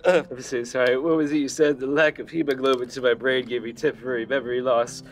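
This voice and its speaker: A man's voice: medium pitch, flat delivery, fast, lightly acted. An actor playing an earnest, know-it-all nerdy young man in a comedy film, with formal, over-precise diction. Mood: flustered. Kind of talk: scripted comedy film acting.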